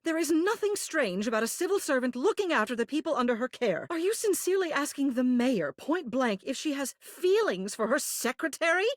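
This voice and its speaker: A woman's voice: high-pitched, big pitch swings, brisk, hardly any pauses, no room sound, clean studio sound. A woman voicing a dignified, protective mayor in a video game, with formal, genteel diction and an authoritative manner. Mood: indignant, stern. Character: dignified, protective woman mayor, an old living household object. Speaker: voice actor in a dating sim game. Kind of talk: polished video game character acting.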